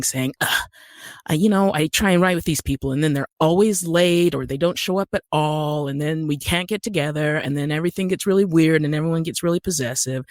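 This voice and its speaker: A woman's voice: sits low, no room sound. American woman, middle-aged, close dry recording, casual storytelling, wry and self-deprecating. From Utah, United States. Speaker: podcast guest, actor and writer.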